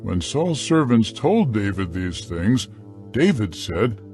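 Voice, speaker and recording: Slow, expressive male scripture narrator. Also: on the low side, soft background music. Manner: measured, deliberate storytelling.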